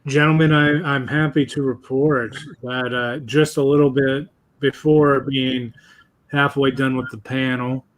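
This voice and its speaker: A man's voice: mid-range voice, little pitch movement, measured pace, low-quality recording. A man in his thirties hosting a live podcast panel, chatting eagerly and jumping in on others. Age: 30s. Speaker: podcast host. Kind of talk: casual chat.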